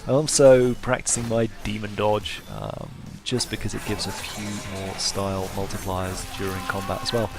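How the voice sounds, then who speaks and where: A man's voice: lowish voice, flat delivery, normal speed, few pauses, over music. A man giving casual playthrough commentary with wry, self-deprecating humour. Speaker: gaming video host.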